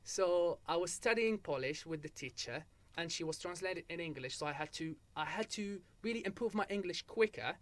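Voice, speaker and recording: Young man from Algeria, interview guest, a fairly high voice, storytelling full of restarts. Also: lively intonation, moderate pace, few pauses. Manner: storytelling with many restarts and repeated "so what happened?". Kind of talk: casual interview chat.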